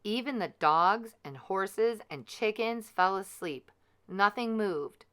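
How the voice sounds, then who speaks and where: Female storybook narrator, unhurried and bright, with measured dramatic delivery for children. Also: medium pitch, expressive, clean tone. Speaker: storyteller reading a picture book aloud.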